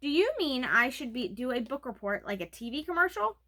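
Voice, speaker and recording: An earnest young storybook girl, read by a woman, bright and fairly high in voice. She speaks in a worried, questioning way, as a child seeking answers from grown-ups. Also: big pitch swings, a little fast, exaggerated voice. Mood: curious.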